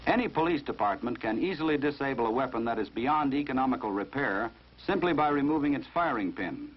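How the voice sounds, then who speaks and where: An American man narrates a 1950s instructional film with measured, formal authority. His voice sits at an average male pitch and has a rough, husky edge. The sound is dull and muffled, like a vintage film soundtrack. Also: lively intonation.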